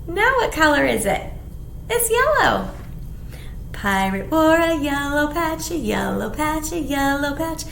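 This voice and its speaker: A middle-aged woman leading a children's storytime, reading aloud expressively as if to young listeners. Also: high-pitched. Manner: expressive, clearly addressing young children, with simple questions. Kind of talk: animated children's picture-book read-aloud. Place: small indoor room. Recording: echoey small room, background hiss.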